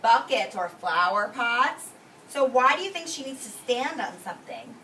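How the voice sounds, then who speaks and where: A woman preschool teacher reading aloud expressively to young children, explaining words simply and giving gentle classroom directions. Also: on the high side, animated, normal speed. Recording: roomy, distant microphone, faint noise.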